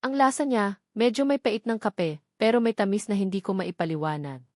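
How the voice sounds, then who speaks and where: A woman with a Filipino accent narrates a first-person romance story in an emotive, dramatic way with deliberate pauses. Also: mid-range voice, no room sound, studio quality.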